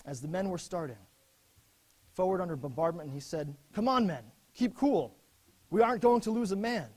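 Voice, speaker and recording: A young army officer played by a man reads his letters home in a dramatic historical presentation. His voice sits a little high for a man, and he speaks earnestly and solemnly, with measured grief. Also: a little mellow. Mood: rallying. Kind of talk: dramatic reading of wartime letters.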